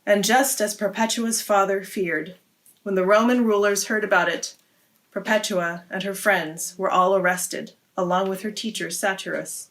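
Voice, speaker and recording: A woman's voice: mid-range voice, fairly even intonation, moderate pace, fairly bright tone. A woman hosting a read-aloud, narrating a story for children.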